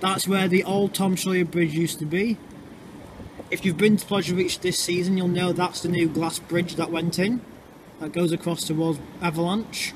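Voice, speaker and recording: A man's voice: high-pitched, fairly even intonation. Chatty man walking outdoors. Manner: chatty, explanatory, a bit halting. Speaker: vlogger. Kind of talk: walking tour commentary. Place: outdoors in urban streets and underpass. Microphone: handheld camera mic. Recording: noisy outdoor street sound. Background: traffic and street ambience.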